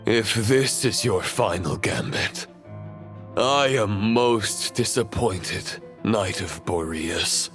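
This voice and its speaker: Cold menacing video game villain, deep-ish, slow n grandiose. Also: male voice, fairly bright tone. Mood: scornful. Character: cold, gloating male abyssal villain, ancient and menacing. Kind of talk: scripted video game acting. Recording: voice over game music.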